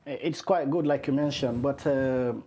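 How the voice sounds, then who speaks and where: A man's voice: mid-range voice, steady tone, normal speed, mellow. A man hosting an interview, talking conversationally and leaning on "you know" as he frames his questions. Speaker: interview host.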